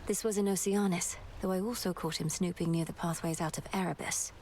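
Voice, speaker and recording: A woman's voice: fairly low pitch, steady tone, a little fast, heavy background noise, lightly acted. An actress playing a determined young goddess witch in a fantasy video game, speaking in a serious, precise, formal way. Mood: resolute.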